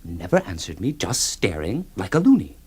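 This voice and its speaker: Radio drama: a low-voiced, grumbling clerk, bouncy pitch, slight hiss. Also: male voice, wildly swooping pitch, normal speed, a little mellow, exaggerated voice. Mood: indignant. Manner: gruff, indignant, plainspoken. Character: blunt, grumbling male office clerk.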